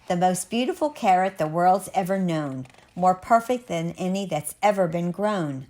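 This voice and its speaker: A woman reading a rhyming children's picture book aloud as a storyteller, expressively, with measured, dramatic, sing-song emphasis. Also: on the low side, expressive, darkish tone.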